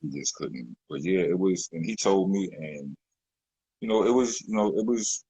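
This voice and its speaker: A man's voice: expressive, moderate pace, dark tone, husky, dry recording. A man, a rapper talking in a loose, rambling way full of fillers and restarts in an interview. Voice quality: deep voice. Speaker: rapper, guest. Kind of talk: casual interview talk.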